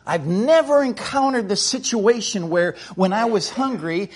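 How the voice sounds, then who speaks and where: Middle-aged American man preaching, high-pitched and husky, folksy delivery with frequent restarts. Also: expressive, unhurried. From United States. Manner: folksy, repeats words and starts over. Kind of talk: sermon.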